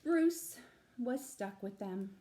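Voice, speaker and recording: A woman reading a picture book aloud to young children as a storytime librarian, expressive and emphatic, with explanatory asides. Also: mid-range voice, takes it slowly, slight background noise. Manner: animated, emphatic, with explanatory asides to little listeners.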